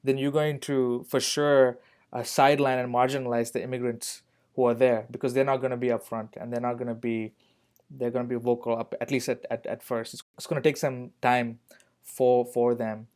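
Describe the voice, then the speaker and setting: A man's voice: mid-range voice, flat delivery, moderate pace, a little mellow. A man speaking as a podcast guest, earnest, repeating key points for emphasis. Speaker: guest on a youth ministry podcast. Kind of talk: reflective podcast conversation.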